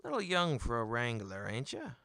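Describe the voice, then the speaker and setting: A man's voice: medium pitch, sing-song, normal speed, sharp tone, no room sound, studio quality. A man voicing a whiskered, balding merchant, a brash wheedling huckster with folksy showman patter, in an audiobook. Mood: brash, sizing up. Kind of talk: audiobook character voice.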